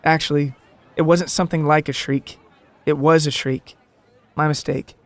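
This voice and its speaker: A man's voice: fairly high pitch, steady tone, normal speed, a faint crowd. A man narrating a scripted podcast story in a dramatic, tongue-in-cheek way. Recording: music behind the voice. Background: background music.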